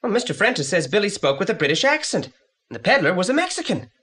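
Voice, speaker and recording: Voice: medium pitch, big pitch swings, fast talker, a bit bright, slightly rough. An actor playing a brainy, self-assured boy detective in a 1980s audio play, speaking formally and precisely. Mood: inquisitive, analytical. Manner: pompous, precise, formal wording.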